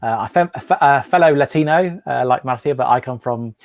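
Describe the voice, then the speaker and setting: A man from Galicia in Spain, a guest on a video call chat, speaking in a measured, friendly way. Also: medium pitch, vocal fry, no room sound, studio quality. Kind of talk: casual interview chat.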